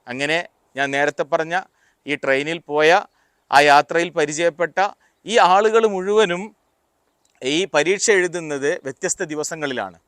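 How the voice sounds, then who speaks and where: Man, fairly high pitch, dry recording, motivational storytelling, deliberate pauses. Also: a bit bright, clean tone, no echo. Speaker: motivational speaker. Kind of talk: motivational talk with personal anecdotes.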